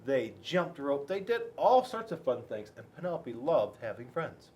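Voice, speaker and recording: Animated man reading to kids. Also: medium pitch, normal speed, lo-fi sound. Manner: playful, asks rhetorical questions. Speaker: school resource officer reading to children. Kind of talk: animated picture book read-aloud, talking to children.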